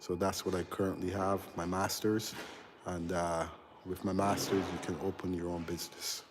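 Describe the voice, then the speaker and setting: A man in his forties, an electrical contractor hosting a casual live stream as he walks through a big unfinished house, answering viewers in a halting, chatty way full of ums and uhs. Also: low voice, flat delivery, noisy background. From Barbados. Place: large unfinished house under construction, indoors.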